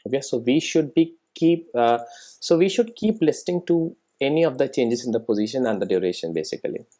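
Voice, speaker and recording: Coding tutorial teacher, mid pitch voice, walks thru it step by step, keeps checking ur following. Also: mellow. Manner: informal, frequent check-in tags like "right?" and "make sense?". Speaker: programming tutorial instructor. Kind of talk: screen-recorded coding tutorial, explaining step by step.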